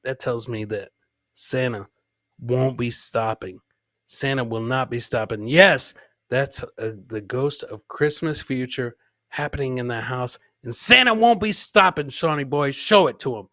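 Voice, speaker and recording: A man's voice: medium pitch, unhurried, pushed and bright, dry recording, studio quality. A man hosting a live comedy show, riffing on video clips in a boisterous, jokey way and repeating catchphrases. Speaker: podcast host.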